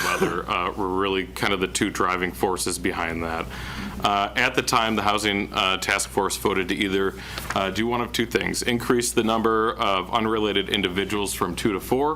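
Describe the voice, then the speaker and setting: A man presenting as a city planning director at a public meeting, in a self-described monotone with many uh fillers. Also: deep voice, slight background noise. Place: council chamber.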